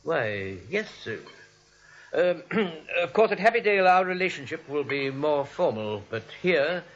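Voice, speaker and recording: Prim, fairly high-voiced director. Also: male voice, very animated, a little slow. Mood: tempted. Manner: formal, reserved, pompous. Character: stuffy, lonely male institution director, prim and dutiful. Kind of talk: 1940s radio drama acting. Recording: muffled vintage 1940s drama recording.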